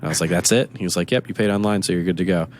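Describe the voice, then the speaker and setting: A man with a very deep voice talks loosely in a casual podcast chat. He rambles and acts out little quoted exchanges as he tells a story. A faint hiss sits under the recording. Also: very fast. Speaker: podcast co-host.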